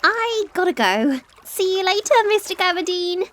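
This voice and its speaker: Tiny high-pitched cheerful unicorn filly. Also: female voice, big pitch swings, normal speed, a bit bright, slight background noise, full character voice. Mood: cheery. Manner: playful, childlike, earnest and chatty. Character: cheerful innocent young unicorn filly, little girl. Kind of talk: dramatic reading of fan fiction.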